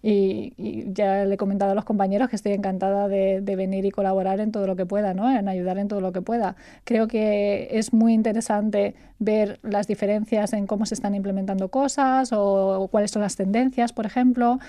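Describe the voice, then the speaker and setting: A woman from Spain, a guest talking about her consulting work in a relaxed interview, chatty and laughing often, repeating words as she searches for them. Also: medium pitch, no room sound, clean studio sound. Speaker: guest, artificial intelligence consultant.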